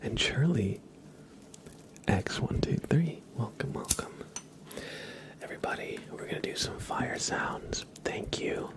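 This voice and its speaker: Slow, whispering man, ASMR style. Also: mid-range voice, lively intonation. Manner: hushed, slow, soothing whispering. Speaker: livestream host. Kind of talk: ASMR livestream, whispered casual chat to viewers.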